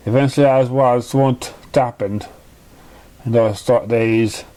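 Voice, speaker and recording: A man reading storybook narration aloud as narrator, somewhat halting, with small stumbles. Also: medium pitch, fairly even intonation, warm tone. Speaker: narrator of a fan fiction story.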